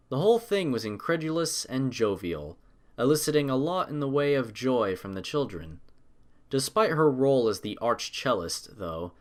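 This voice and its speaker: Male narrator for scripted fiction. Mid-pitched, animated melodic range, storytelling delivery.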